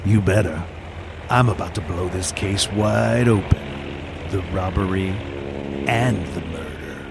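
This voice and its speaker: An actor playing a gruff, hostile 1940s police detective in an old-time radio drama, full of threatening tough-guy bluster. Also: deep voice, takes it slowly, heavy background noise, full character voice.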